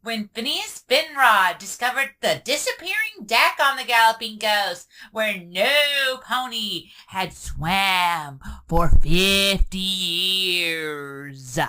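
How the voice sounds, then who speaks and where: A woman voicing an excitable, bookish young female cartoon pony fan, with dramatic, earnest, emphatic delivery. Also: medium pitch, slow. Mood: eerie storytelling. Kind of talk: animated cartoon voice acting. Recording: distant mic with faint noise.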